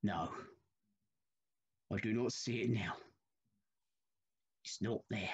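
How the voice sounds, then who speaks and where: Man playing a husky, haunted signalman. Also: fairly low pitch, full character voice. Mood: troubled, dread. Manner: troubled, halting, repeating himself, formal old-fashioned speech. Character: haunted, anxious older railway signalman, once a gentleman. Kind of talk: Victorian ghost story audio drama.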